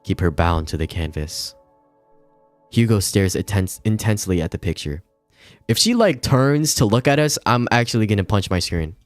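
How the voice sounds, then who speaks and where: A man's voice: lowish voice, animated, normal speed, no room sound, faint music behind. A man streaming a game, reading its narration aloud with informal asides, some swearing and stumbles over long words.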